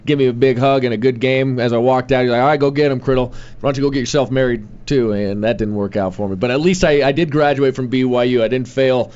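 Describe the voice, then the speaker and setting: A man's voice: medium pitch, fairly even intonation, fast, hardly any pauses, a little mellow, highs cut off. A young man hosting sports talk, chatting casually with many fillers and restarts. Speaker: sports radio host.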